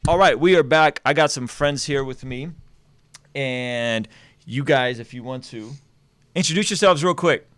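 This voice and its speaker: A man is hosting a live stream and talking in an energetic way. He repeats words and restarts his sentences as he builds up a point. The sound is dry and close, with little room echo. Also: mid-range voice, measured pace, clean tone. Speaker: host.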